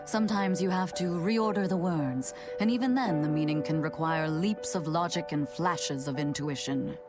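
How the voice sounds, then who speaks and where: A woman's voice: sits low, moderate pace, music in the background, natural voice. An actress playing an elderly, teasing woman scholar in a video game, musing theatrically over her words. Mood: wry, frustrated. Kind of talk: video game cutscene acting.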